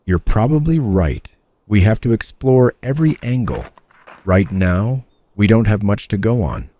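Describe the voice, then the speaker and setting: An actor playing a composed, dry FBI investigator in an animated noir satire, speaking with calm authority. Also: male voice, on the low side, unhurried, a little hiss or hum. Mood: matter-of-fact.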